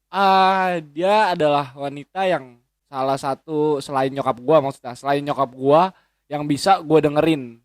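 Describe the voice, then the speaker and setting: A man's voice: fairly high pitch, unhurried, no room sound, clean studio sound. A man, chatting as a guest in a rambling, slangy way with lots of swearing and restarts. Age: 20s. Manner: rambling, frequent swearing and self-correcting hesitations. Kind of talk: casual chat.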